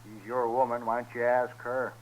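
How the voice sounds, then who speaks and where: An American actor playing a sly, mocking, cynical outlaw in a 1950s radio western, with taunting, folksy speech. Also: male voice, medium pitch, little pitch movement, normal speed, slight background noise, lightly acted, rough recording. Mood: sly, teasing. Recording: vintage radio recording.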